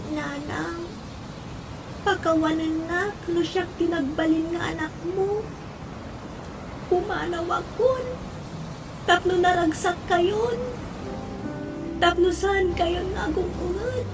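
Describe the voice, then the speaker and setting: Sad young daughter, played by a woman: very high, slow, plaintive, audio drama with music behind. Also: full character voice. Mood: sorrowful, wounded. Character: sad, hurt young daughter who feels unloved. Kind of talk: melodramatic audio drama acting. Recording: distant voice under clear music.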